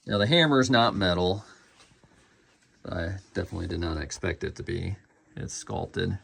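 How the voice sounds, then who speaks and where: Low-pitched, gravelly man reviewing casually. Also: expressive, moderate pace, fairly bright tone. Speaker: collector reviewing a collectible bust.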